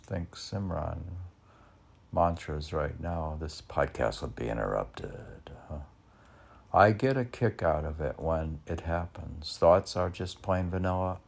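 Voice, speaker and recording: A man musing in a rambling podcast style as a poet, in a halting, gravelly, husky voice that often trails off. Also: rumbling low, a little mellow. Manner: halting, drawn-out delivery with trailing-off phrases. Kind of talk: rambling podcast musing, asking and answering himself.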